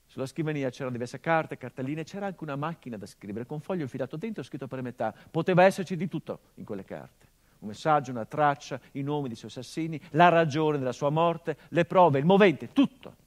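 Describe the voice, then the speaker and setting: A male actor delivering a theatrical monologue as a son remembering his father, measured and intimate, swelling into urgency. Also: mid-range voice, lightly acted.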